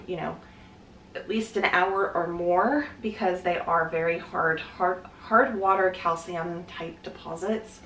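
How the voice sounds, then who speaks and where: A woman's voice: on the low side, fairly bright tone, recorded from a distance, a little hiss or hum. A woman in her thirties talking casually while she cleans her kitchen, thinking aloud with fillers and restarts. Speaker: cleaning vlogger. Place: home kitchen, indoors. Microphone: camera mic from a few feet away. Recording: roomy kitchen sound from off-mic.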